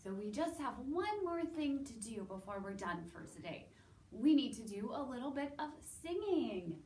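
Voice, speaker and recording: A woman hosting story time for young children, speaking in a sing-song, encouraging way and pausing as if for their answers. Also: fairly high pitch, sing-song, darkish tone, a little hiss or hum. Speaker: children's story time host at a public library. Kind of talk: children's storytelling and singing, addressing young listeners. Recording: roomy echo, distant microphone.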